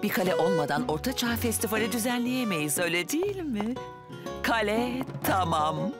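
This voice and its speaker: A woman's voice: medium pitch, very animated, moderate pace, music in the background, lightly acted. A woman voicing a busy, businesslike town mayor in a children's cartoon. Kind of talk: children's cartoon voice acting, Turkish dub.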